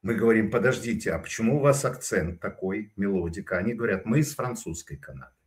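A man talks in a low voice that swings up and down with animation. He tells rambling anecdotes and stops often to hesitate. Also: fast talker. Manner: animated, digressive storytelling with frequent hesitations. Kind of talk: casual spoken commentary.

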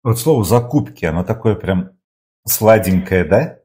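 A man's voice: lowish voice, animated, moderate pace, dark tone. A man with a Moscow accent co-hosting a talk show, mixing news commentary with banter and reading from the chat. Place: studio.

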